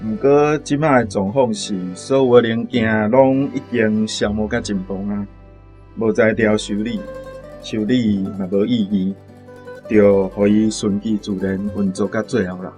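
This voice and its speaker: A man plays a Taiwanese-accented doctor in a radio drama, low in pitch and plainly explanatory. He is matter-of-fact, with faint music behind him. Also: fairly even intonation, measured pace, a little mellow, close to an everyday voice. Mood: measured, resigned.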